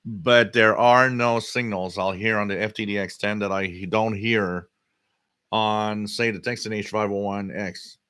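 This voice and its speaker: A man hosting a casual live hobby stream, answering chat comments in a halting way and often repeating his words. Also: on the low side, steady tone, bright, forceful tone, clear, resonant tone, dry recording. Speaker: livestream host.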